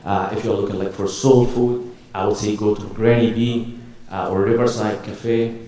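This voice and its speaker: A man's voice: fairly low pitch, steady tone, moderate pace, warm tone. A man from Egypt with an Egyptian accent gives a casual, humorous presentation to an audience, telling stories with acted-out dialogue. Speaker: university staff presenter. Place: large echoey room before an audience. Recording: very echoey hall, distant microphone.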